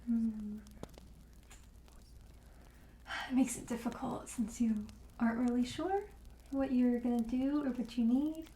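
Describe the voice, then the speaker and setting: A woman playing a friendly, soothing witch shopkeeper in an ASMR roleplay, speaking gently and hesitantly and drifting into whispers. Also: on the high side, normal speed, reverberant, slight background noise, natural voice, rough recording. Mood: hesitant, apologetic. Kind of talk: soft-spoken ASMR roleplay.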